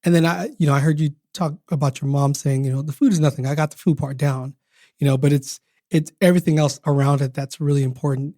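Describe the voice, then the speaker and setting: A man's voice: on the high side, fairly even intonation, fast, mellow, dry recording, clean studio sound. A man hosting a podcast, reflecting on his guest's story in a casual, thoughtful way and repeating words as he goes. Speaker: podcast host.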